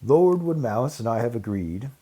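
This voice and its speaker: A man's voice: mid-range voice, expressive, normal speed, dark tone, natural voice. Man playing an earnest, declamatory elder mouse. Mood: formal. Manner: formal, declamatory. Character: male elder mouse, earnest leader addressing his community.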